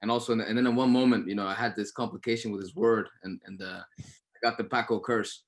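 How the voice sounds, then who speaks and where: Low-voiced man, halting casual talk. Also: fairly even intonation. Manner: halting, repeating words as he goes. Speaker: actor. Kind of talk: casual anecdote on a panel.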